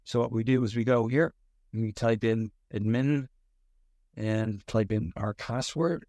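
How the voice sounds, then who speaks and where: Guy walking thru a software setup step by step, lowish voice, dry close sound. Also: fairly even intonation, a little slow, dry recording. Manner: step-by-step instructional. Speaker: tech support manager giving a tutorial. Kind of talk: software tutorial walkthrough.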